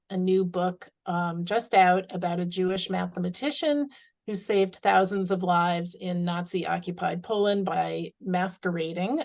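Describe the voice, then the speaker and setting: A woman's voice: lowish voice, vocal fry. A woman hosting a webinar on a video call, thanking the speaker and moving to audience questions with frequent ums and restarts. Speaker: webinar host. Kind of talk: webinar moderation.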